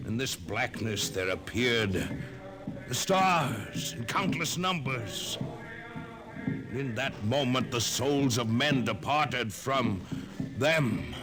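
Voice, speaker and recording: An actor playing a solemn prophet, intoning apocalyptic holy scripture in grave, declamatory 1950s radio style. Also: male voice, medium pitch, very animated, slow pace. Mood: solemn, foreboding. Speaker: reader of scripture. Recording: vintage 1950s radio, music bed. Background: loud dramatic music.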